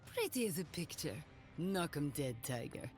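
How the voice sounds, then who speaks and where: A brash female gunslinger for a video game, with a low, swinging delivery. She is cocky and teasing, with a slight foreign accent, over faint music. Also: big pitch swings, moderate pace, darkish tone. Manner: cocky, teasing, self-assured. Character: brash, daring female mercenary gunslinger, sassy thrill-seeker. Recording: music behind the voice.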